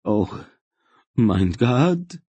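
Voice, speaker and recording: A trembling male zealot voiced by a male narrator pleads in a husky, choked voice. He drags out his words slowly, humbled and reverent, in a fantasy storybook. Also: sits low, animated, a little mellow, no room sound, full character voice. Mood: choked remorse.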